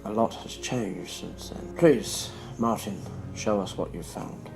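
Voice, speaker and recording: A man's voice: lowish voice, takes it slowly, vocal fry, music in the background. An actor playing an earnest man making an urgent plea in a radio play. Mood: grave, urgent. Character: earnest, urgent man pleading to save dying animals.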